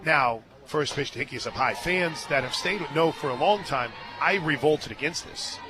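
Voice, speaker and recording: A man doing live radio play-by-play of softball, mixing excitable calls with chatty asides. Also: fairly high pitch, normal speed. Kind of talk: live softball commentary mixed with casual chat. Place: stadium radio booth.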